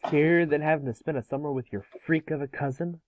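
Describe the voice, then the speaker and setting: A man playing a sulky, sarcastic and excitable boy in a cartoon script read. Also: a little high, brisk, a little hiss or hum, lightly acted. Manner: sarcastic, grumbling.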